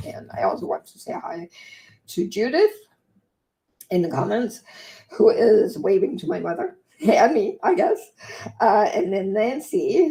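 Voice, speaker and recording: Croaky Danish-born woman host. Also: mid-range voice, warm tone, poor audio. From Denmark. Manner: chatty, sidetracked, fast-talking. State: hoarse from long talking. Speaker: vlog host and author. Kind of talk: casual livestream chat and reading aloud.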